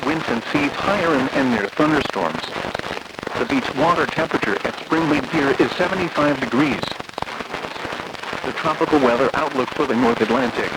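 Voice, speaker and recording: Synthetic male weather announcer over loud static. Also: mid-range voice, moderate pace. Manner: flat, methodical, synthetic delivery. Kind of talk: scripted automated weather bulletin readout. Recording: noisy weather radio broadcast, loud static.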